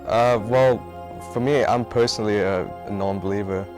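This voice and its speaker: Flat-voiced young man over music. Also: on the low side, normal speed. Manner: relaxed, filler-heavy. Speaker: engineering student.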